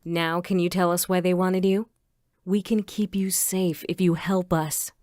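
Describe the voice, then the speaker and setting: A woman's voice: fairly low pitch, little pitch movement, unhurried, darkish tone, dry recording, clean studio sound, close to an everyday voice. A woman playing a composed, inquisitive police inspector in an audio crime drama.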